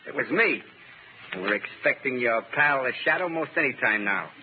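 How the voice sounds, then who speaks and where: An actor playing a rough, jumpy, talkative American henchman in 1930s radio drama style. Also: mid-range voice, expressive, moderate pace, natural voice. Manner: slangy, questioning, edgy. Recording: faintly noisy, narrow-band 1930s radio.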